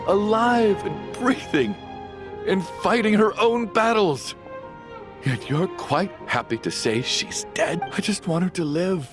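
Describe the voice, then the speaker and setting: An actor playing a grieving middle-aged father, anguished and pleading, his voice swinging from low and sombre to raised cries, over faint game music. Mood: desperate. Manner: anguished, pleading, rising to shouts. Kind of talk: video game voice acting.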